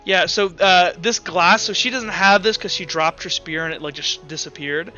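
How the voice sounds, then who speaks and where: Bright, high-voiced man running a tabletop game. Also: moderate pace. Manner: casual, profane, thinking aloud. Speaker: game master. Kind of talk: improvised tabletop game narration. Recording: dull lo-fi mic, music behind. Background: background music.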